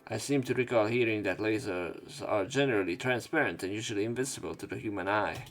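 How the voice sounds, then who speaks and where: Low-voiced man narrating a game. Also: brisk, a bit bright. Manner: casual, joking asides and crude swearing. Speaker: let's play narrator. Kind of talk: reading game text aloud with commentary. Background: faint game music.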